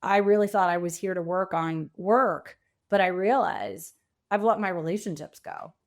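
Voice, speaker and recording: A woman speaking conversationally as a podcast guest and coach, telling stories in an animated way with bits of quoted dialogue. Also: lowish voice, moderate pace. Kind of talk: conversational interview.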